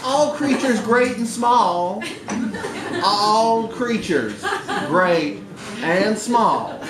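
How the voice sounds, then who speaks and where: A man at a live story reading voicing a gentle, defensive, feckless man with a droll, protesting manner. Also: high voice, lively intonation, very slow, voice pushed up, heavily acted. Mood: pleading. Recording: echoey live room recording with background noise.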